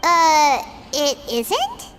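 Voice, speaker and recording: Squeaky, very high-pitched fairy sidekick. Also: female voice, huge pitch swings, very slow, a bit bright, slight background noise, full character voice. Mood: curious, teasing. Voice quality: squeaky, childlike. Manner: exclamatory, speaks of self in third person. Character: tiny childlike female floating fairy companion, excitable and blunt. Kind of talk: video game voice acting.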